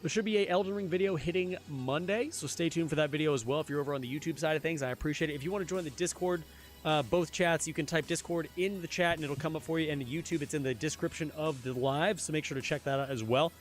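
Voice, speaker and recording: A young man streaming a game, chatting casually with his viewers, his voice a little hoarse. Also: on the high side, fast, faint music behind. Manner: chatty, answers chat, repeats phrases. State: slightly hoarse. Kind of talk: casual live-stream chat while gaming.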